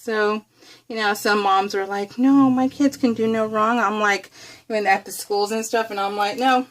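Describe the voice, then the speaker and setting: A woman's voice: medium pitch, steady tone, moderate pace, rough recording. A middle-aged woman hosting a craft vlog, chatting casually and rambling as she works, often correcting herself and giggling. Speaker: craft video host.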